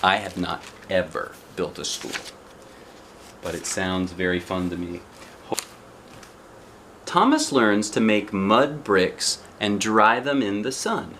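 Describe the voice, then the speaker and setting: A man's voice: lowish voice, lively intonation, slow, pauses often, fairly bright tone, slight background noise. A man reading a children's picture book aloud expressively, with chatty asides and questions to the young listener. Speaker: storybook reader.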